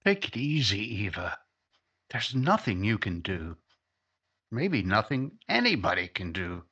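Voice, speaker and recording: Actor as husky, earnest newspaper reporter. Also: male voice, on the low side, sing-song, a little slow, sharp tone, dull audio, exaggerated voice. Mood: earnest, resolved. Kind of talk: 1950s-style radio crime drama acting.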